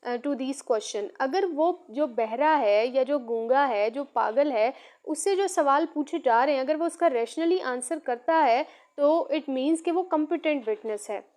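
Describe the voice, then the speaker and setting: A woman's voice: sits high, a little slow, darkish tone. A Pakistani woman, a native Urdu speaker, teaching law by reading out points and explaining them repetitively. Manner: repetitive, code-switches between Urdu and English. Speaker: law lecturer.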